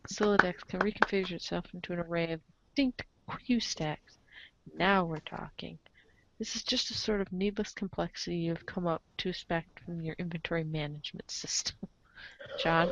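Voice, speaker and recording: A woman's voice: fairly low pitch, steady tone, normal speed, muffled recording, noisy background. A woman reading a comic aloud as the show's narrator, theatrical and playful, slipping into casual asides. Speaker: narrator of a comic read-along show.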